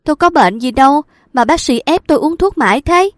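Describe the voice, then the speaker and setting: A woman voicing a distraught young woman in a hospital who pleads, high-pitched and loud, for her lost love, in an audiobook reading. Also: expressive, a little slow, dry recording, studio quality. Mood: agitated.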